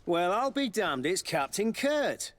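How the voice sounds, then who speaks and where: An actor playing a worldly, chatty army quartermaster in a video game, a man with a husky voice. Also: high-pitched, very animated, measured pace, a bit bright, no echo, full character voice. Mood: hearty, familiar. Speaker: military quartermaster.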